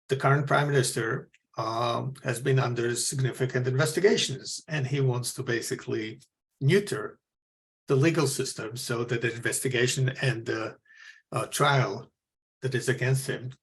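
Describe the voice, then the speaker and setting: Man, mid-pitch and gravelly, earnest forum host introducing a speaker. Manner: earnest, with frequent fillers and restarts. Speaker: forum moderator. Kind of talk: moderator's introduction.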